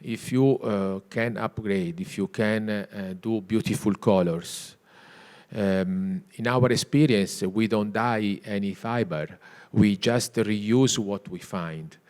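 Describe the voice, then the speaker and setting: A middle-aged Italian man speaking English as a second language with an Italian accent, pausing often and filling gaps with hesitation sounds on a store panel. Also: fairly low pitch, darkish tone. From Italy. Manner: hesitant, many filler sounds, searching for English words. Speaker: CEO of a textile mill, panel guest. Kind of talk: panel discussion. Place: retail store event space.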